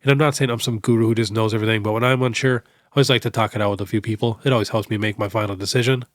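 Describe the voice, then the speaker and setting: A young man with a stuffed-up nose from a cold, hosting a casual question-and-answer show in a relaxed, chatty way full of fillers. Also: lowish voice, very fast, no room sound, studio quality. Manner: relaxed, chatty, many fillers like "you know". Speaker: host. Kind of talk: casual Q&A on card collecting.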